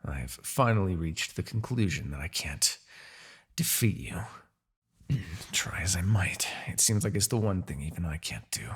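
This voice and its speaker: A man playing a proud, vengeful demon king in a dramatic fantasy audio roleplay, with a husky, gravelly voice and a theatrical, gloating manner. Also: low-pitched, animated, unhurried, exaggerated voice. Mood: scornful, pained. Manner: theatrical, gloating, with sighs and dark chuckles.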